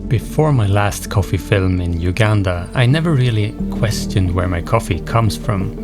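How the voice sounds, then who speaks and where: A man's voice: on the low side, expressive, moderate pace, over music. A man narrating a documentary from a script in the first person, as the filmmaker.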